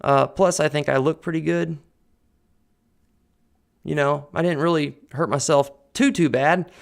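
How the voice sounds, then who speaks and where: A man's voice: fairly high pitch, fairly even intonation, measured pace, gravelly. A man talking to camera at home into a desk mic, earnest and rambling, with many fillers and restarts. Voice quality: loud voice. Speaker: vlogger. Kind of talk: casual personal talk to camera. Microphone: desk condenser mic on a boom arm.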